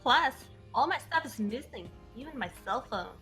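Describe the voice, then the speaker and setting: Casting: woman for an anxious, brash high school girl in a game, sharp high voice over music. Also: lively intonation, normal speed. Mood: worried. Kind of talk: amateur game character acting.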